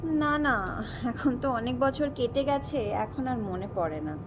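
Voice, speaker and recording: A woman's voice: measured pace, natural voice. An actress playing a young woman in an audio drama, speaking in a conversational way. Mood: wistful. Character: soft-hearted young woman, recent migrant. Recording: noisy, rumble and clinking behind.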